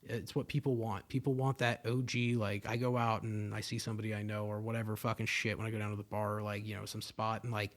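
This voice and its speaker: Fast-talking, rambling young man podcasting. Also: fairly low pitch, little pitch movement. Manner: loose, rambling, heavy swearing and fillers. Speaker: podcast host. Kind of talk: casual solo podcast monologue. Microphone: podcast microphone.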